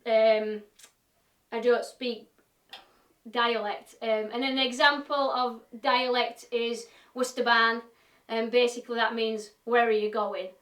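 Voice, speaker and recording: A woman's voice: fairly high pitch, fairly even intonation, measured pace, a bit bright. A woman with a broad Yorkshire accent chatting her way through a live demo as host, in a workshop. Her manner is friendly and self-deprecating. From Yorkshire, England. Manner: chatty, self-deprecating, talks through what she is doing. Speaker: woodturner hosting a live demo. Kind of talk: chatty live-stream demonstration. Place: home workshop. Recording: echoey workshop, voice from a distance.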